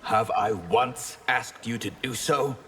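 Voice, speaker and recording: An actor playing a proud, grim, disgraced warrior of a fantasy empire in a fighting game, speaking in terse, stern, formal lines. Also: male voice, mid-range voice, slow pace, natural voice. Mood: grim, defiant. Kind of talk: video game fighter intro dialogue. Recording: echoing hall, loud background noise.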